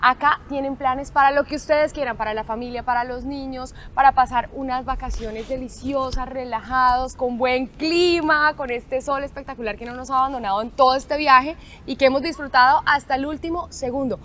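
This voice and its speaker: A woman's voice: high voice, normal speed, hardly any pauses, fairly bright tone, slight background noise. A woman hosting an outdoor talk show, effusive and laughing often. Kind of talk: lively interview show hosting. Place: outdoor resort garden terrace.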